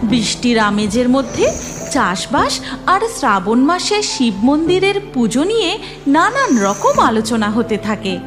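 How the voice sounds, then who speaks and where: A woman narrating a cartoon folk tale in an expressive, scripted storytelling style. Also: high-pitched, measured pace, music in the background.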